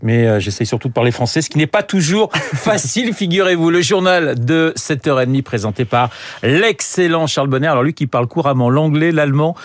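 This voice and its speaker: A man's voice: a little high, animated, brisk. A man hosting a morning radio show, chatting with a light, jovial manner. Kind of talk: casual radio banter. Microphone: studio mic.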